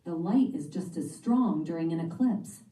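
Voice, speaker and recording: Eager curious storybook schoolgirl, read by a woman, mellow lowish voice, roomy distant sound. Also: lively intonation, moderate pace, close to an everyday voice.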